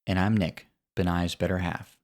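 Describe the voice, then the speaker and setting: A very deep-voiced man from the United States co-hosts a casual podcast. He talks in a measured, articulate way with a dry sense of humor. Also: flat delivery. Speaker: podcast co-host.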